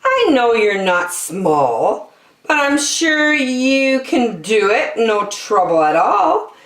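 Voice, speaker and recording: A woman's voice: fairly high pitch, sing-song, slow, a bit bright, echoey room, voice pushed up, full character voice. A woman voicing a lazy, sweetly persuasive female bird in a coaxing, singsong storybook manner.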